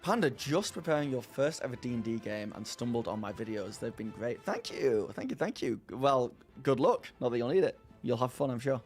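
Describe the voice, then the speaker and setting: Male streamer, mid-pitched, chatty and self-mocking, faint music underneath. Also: animated, fairly quick, hardly any pauses, darkish tone. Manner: rambling, self-deprecating, laughs often. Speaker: streamer hosting a live chat. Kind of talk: casual live-stream chat, answering viewers.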